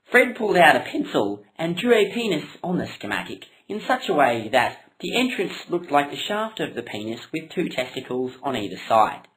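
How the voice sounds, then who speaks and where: A woman narrating a comic puppet story in a deadpan storytelling style. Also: deep voice, normal speed, hardly any pauses, poor audio.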